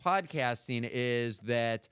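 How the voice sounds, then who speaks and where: A middle-aged man hosting a podcast, asking reflective, halting questions in casual chat. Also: mid-range voice, lively intonation, a bit bright, smooth, no echo, studio quality. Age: late 40s to 50s. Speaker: podcast host.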